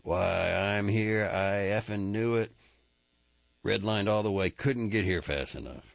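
A brash, restless young cowboy who rambles on in rough, profane country talk, as a male reader puts him on. The voice sits well above the reader's deep natural tone and keeps a gravelly edge. It is recorded close and dry, with a slightly muffled sound. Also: unhurried, no echo. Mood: excited.

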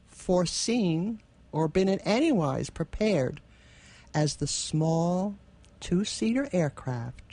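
Woman radio theatre co-host, measured and expressive, kinda storyteller delivery. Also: sits low, husky. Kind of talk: casual chat and literary story reading.

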